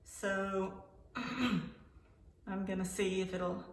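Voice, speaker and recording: A woman giving a casual product demo at home, explaining things in an informal, folksy and halting way. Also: medium pitch, fairly even intonation. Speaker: product reviewer and vlogger. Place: indoors at home. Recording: roomy echo, faint background noise.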